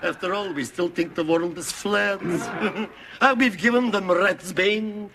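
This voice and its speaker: A man's voice: high voice, measured pace, background noise. A man playing a flustered, defensive medieval scholar, nervous and fussy in comic rhyming dialogue.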